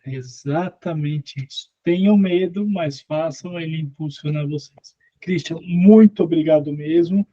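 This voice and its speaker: A man's voice: fairly high pitch, unhurried, hardly any pauses, dark tone. A man hosting an online interview, closing it in an upbeat, motivational way. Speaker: host. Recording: muffled lo-fi sound.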